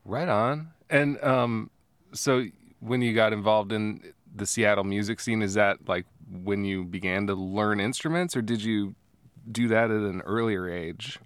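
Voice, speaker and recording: Man, interview host, low voice, relaxed and hesitant, dry studio sound. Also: a little slow, clear, resonant tone, no room sound. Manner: relaxed, hesitant, many fillers and restarts. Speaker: podcast host. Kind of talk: casual interview.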